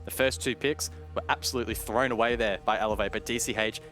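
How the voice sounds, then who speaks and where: A man's voice: lowish voice, fairly even intonation, quick pace, fairly bright tone, faint music behind. A man giving live esports colour commentary, breaking down tactics and odds and lifting sharply on big plays. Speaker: esports analyst caster.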